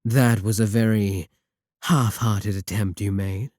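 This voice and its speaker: A man playing a sly, sadistic warlock in a roleplay audio drama, smug and possessive, with taunting, deliberate phrasing. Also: lowish voice, steady tone, no room sound, clean studio sound. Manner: taunting, drawn-out, deliberate phrasing with dark chuckles. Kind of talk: scripted roleplay audio drama, spoken to the listener.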